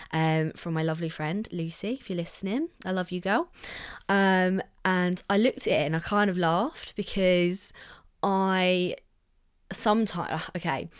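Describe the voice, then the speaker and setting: A young woman hosting her own podcast in casual chat, upbeat and chatty, her voice croaky and husky from being ill. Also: lowish voice. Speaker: podcast host.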